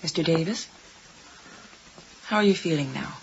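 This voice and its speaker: An actress playing a calm, patient doctor in a radio drama, measured and gently probing, with a dry edge. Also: female voice, low voice, lo-fi sound, background noise. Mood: gentle, probing. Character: calm, patient female doctor on hospital duty. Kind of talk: 1980s radio drama acting. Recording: band-limited 1980s radio drama, loud music.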